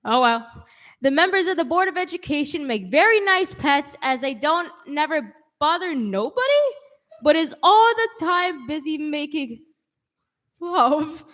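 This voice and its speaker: A woman acting as a female schoolteacher in an old-time radio comedy. Her voice is high and her manner composed, politely smoothing things over with a wry edge. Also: animated, unhurried, fairly bright tone. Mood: flustered, formal.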